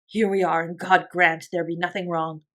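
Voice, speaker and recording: A woman's voice: on the low side, little pitch movement, moderate pace, fairly bright tone, natural voice. A woman voicing an anxious, loyal old male butler, deferential but urgent and emphatic, in a storybook reading. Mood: grave, nervous.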